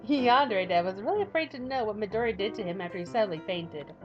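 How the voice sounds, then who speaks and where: A woman's voice: medium pitch, expressive, fast talker, muffled recording, over music. A woman YouTuber reading a visual novel aloud with casual, playful, giggly commentary. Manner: playful, giggly, self-mocking.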